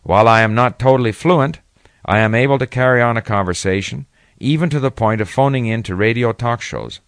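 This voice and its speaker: An older man reading his own first-person account in a measured, reflective way. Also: medium pitch, no room sound. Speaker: author reading his memoir. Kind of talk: first-person read narration.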